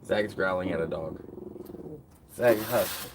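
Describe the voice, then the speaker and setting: A man's voice: deep voice, slow, noisy background. A man hosting a casual livestream, rambling to his viewers with lots of fillers. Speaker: livestream host.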